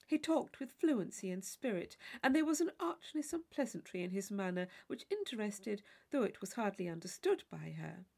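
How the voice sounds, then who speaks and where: Female audiobook narrator, mid pitch with lively range, expressive and measured storytelling. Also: vocal fry. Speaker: audiobook reader. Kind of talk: scripted literary narration.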